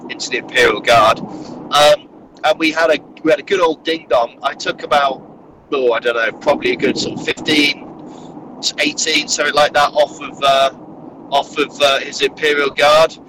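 A man's voice: on the high side, steady tone, normal speed. Man, nasal cold voice, rambling casual chat, faint road noise, recorded in a car. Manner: rambling, filler-heavy, with frequent restarts. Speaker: podcast co-host recounting a wargaming tournament. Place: in a moving car.

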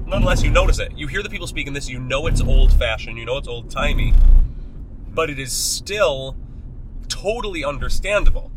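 A man talking casually and thinking aloud as a podcast co-host, recorded inside a moving car with road noise behind him. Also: brisk. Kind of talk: casual chat about old radio shows. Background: steady engine and road rumble.

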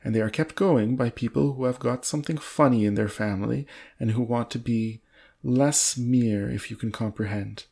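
A man's voice: medium pitch, normal speed, creaky, darkish tone, breathy edge. A man voicing a lofty, complacent aristocrat in a storybook read aloud, with a pompous, genteel manner. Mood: lofty, businesslike. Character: lofty, complacent English earl, casually boastful aristocrat.

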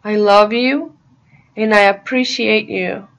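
Slow-paced woman guiding a meditation. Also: mid-range voice, fairly even intonation, fairly bright tone. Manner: soothing, deliberate, pausing between short phrases. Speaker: meditation guide. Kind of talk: guided meditation narration.

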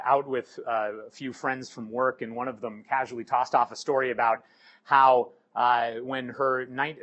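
A middle-aged man with a cold, sounding hoarse, giving a halting sermon full of ums and uhs. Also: mid-range voice, fairly bright tone. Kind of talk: sermon with scripture reading.

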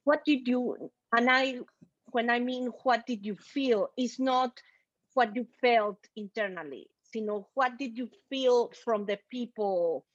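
Woman co-host asking slow careful questions, kinda high voice, dry sound. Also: no room sound, muffled recording. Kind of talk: interview chat.

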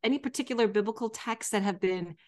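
Woman host, slightly high voice, friendly and teasing. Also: fast, a little mellow. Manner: friendly, playful questions with fillers. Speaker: interview host. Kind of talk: conversational interview.

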